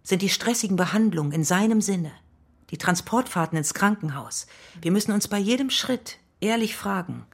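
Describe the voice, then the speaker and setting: A woman playing a direct, principled family doctor in a radio play, speaking in a measured, formal way. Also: medium pitch, animated, breathy edge. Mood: matter-of-fact. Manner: measured, matter-of-fact, formal.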